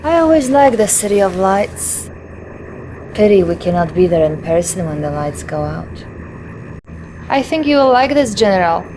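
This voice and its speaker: A woman's voice: mid-range voice, sing-song, normal speed, natural voice. A woman as an efficient Soviet officer, noisy backdrop. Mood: confident, wry. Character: young female Soviet military intelligence officer, efficient, loyal. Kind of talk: video game mission briefing.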